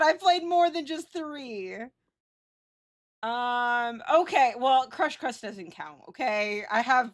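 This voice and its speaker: A woman's voice: a little high, fairly bright tone. A woman livestreamer chatting casually with viewers in a slangy, sweary, self-teasing and exclaiming way. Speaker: live streamer.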